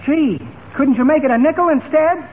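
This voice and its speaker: Very high-pitched cheeky telegram boy. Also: normal speed. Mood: cheeky, eager. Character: cheeky young telegram delivery boy, eager wisecracker. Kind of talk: 1940s radio comedy acting. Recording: tinny, very noisy old radio recording.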